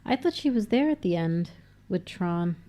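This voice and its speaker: A woman with a nasal voice, co-hosting a casual film commentary with quick asides and plenty of fillers. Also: on the low side, expressive, warm tone. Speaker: podcast co-host.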